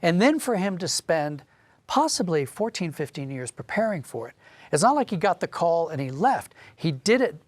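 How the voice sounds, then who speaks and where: A man's voice: fairly high pitch, very animated, moderate pace, no room sound. A man speaking as an interview guest, explaining history in a discursive, thoughtful, conversational way. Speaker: interview guest, audio drama writer.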